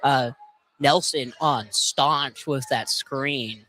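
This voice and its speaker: A man's voice: medium pitch, very slow, sharp tone, rough recording. A man giving casual live color commentary on a basketball game from inside a field house.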